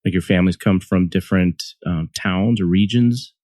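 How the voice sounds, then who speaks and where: A man's voice: deep voice, normal speed, a little mellow, dry recording, clean studio sound. A man hosting a podcast as a couples therapist, reading a scripted intro and then chatting with guests in a friendly, easy way with light laughter.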